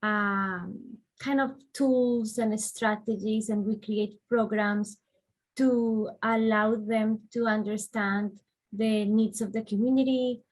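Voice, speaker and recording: A woman's voice: medium pitch, steady tone, slow, rough recording. Colombian woman answering interview questions. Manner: thoughtful, explains at length with soft fillers. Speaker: guest, director of refugee and immigrant services.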